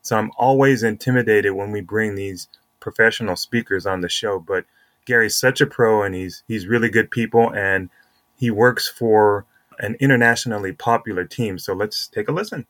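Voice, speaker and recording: A man's voice: on the low side, moderate pace, fairly bright tone, no room sound. Man hosting a sports podcast, close dry sound. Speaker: podcast host. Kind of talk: casual sports podcast talk and interview.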